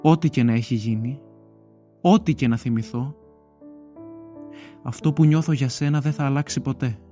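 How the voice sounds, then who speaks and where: A man's voice: mid-range voice, dark tone, soft background music, close to an everyday voice. A man playing a devoted, earnest boyfriend in an audio drama, speaking tenderly and pleadingly.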